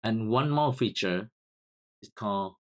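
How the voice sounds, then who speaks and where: Halting Vietnamese-accented man explaining code. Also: lowish voice, a bit bright. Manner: halting, repeats words while typing key commands. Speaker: software tutorial host. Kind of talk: screen-recorded coding tutorial.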